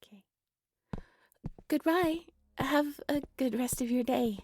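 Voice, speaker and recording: Woman voicing shy, gentle young owl-woman shopkeeper. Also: sits high, takes it slowly, voice pushed up, full character voice. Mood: shy, warm. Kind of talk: romantic roleplay audio drama.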